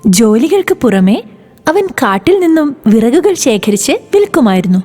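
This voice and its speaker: A woman narrating a children's moral story in an expressive, sing-song way. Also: high-pitched, normal speed, husky, soft background music.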